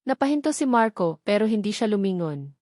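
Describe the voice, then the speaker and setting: A woman's voice: medium pitch, sing-song, a little fast, darkish tone, dry recording, clean studio sound. A woman narrating a scripted love story in a dramatic, emotive audiobook style.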